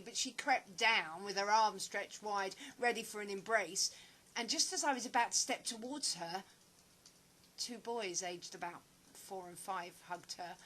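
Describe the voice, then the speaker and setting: A woman's voice: mid-range voice, sharp tone, a little hiss or hum, poor audio. A woman acting in an audio play as a guarded, wistful character, speaking in a reserved and hesitant way. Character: guarded, wistful woman living alone, grieving her father.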